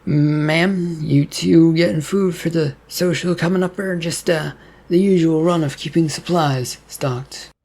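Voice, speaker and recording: A man's voice: a little high, fairly even intonation, measured pace, gravelly, darkish tone, pitched above the performer's own voice. A man playing a friendly homeless man in an audiobook story, hesitant, polite and oddly candid.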